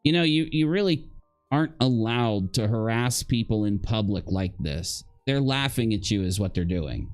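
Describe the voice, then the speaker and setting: A man giving casual live reaction commentary, halting as he thinks aloud and posing rhetorical questions to his viewers. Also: on the low side, expressive, faint music behind. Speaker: livestream commentator.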